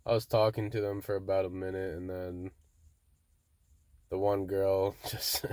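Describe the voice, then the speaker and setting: Deep, flat-voiced young man. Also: a little slow, smooth, dry recording. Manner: monotone, laid-back, says "bro" a lot. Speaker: vlogger. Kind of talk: casual storytelling monologue.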